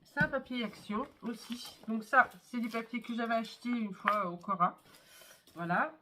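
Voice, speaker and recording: A woman with a cold showing her scrapbook albums in a casual, chatty way, with many fillers and pauses. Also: on the high side, noisy background. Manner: chatty, hesitant, many fillers and "hop, voilà". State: has a cold, congested. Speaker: scrapbooking vlogger. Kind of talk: casual show-and-tell craft video.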